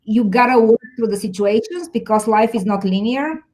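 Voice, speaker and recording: A woman from Bulgaria in her forties, a technology executive answering interview questions in a thoughtful way, with frequent pauses. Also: a little high, animated, a little mellow. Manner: thoughtful, many fillers and restarts.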